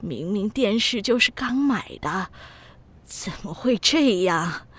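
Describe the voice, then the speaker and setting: An elderly grandmother in an audio drama. She talks slowly in a husky voice, kindly and chatty, with a fretful streak. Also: mid-range voice, lively intonation. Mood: fretful, pleading. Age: older. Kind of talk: Mandarin audio drama acting.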